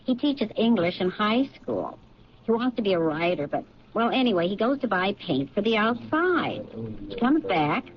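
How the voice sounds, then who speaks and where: A woman's voice: mid-range voice, sing-song, normal speed, lightly acted. An actress playing a newly married policewoman in a 1970s radio drama, telling her story in a hesitant, apologetic way. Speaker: police officer. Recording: dull lo-fi 1970s radio recording.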